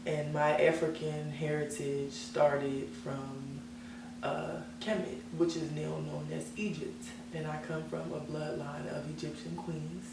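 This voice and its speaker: A woman's voice: sits low, flat delivery, measured pace, music in the background. A young woman singer as an interview guest, talking about herself in earnest, self-assured casual chat. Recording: echoey room, mic at a distance, background hum.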